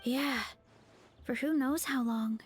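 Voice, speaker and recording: An actress voicing a friendly young woman from an orchard family in a game, talking in a relaxed, conversational way. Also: high voice, slow pace, faint music behind. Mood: thoughtful.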